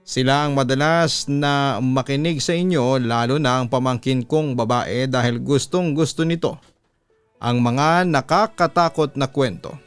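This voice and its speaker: A Filipino man, a radio announcer, narrating a listener's horror letter in a deliberate, dramatic storytelling style. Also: medium pitch, steady tone, clear, resonant tone, no echo. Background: music bed.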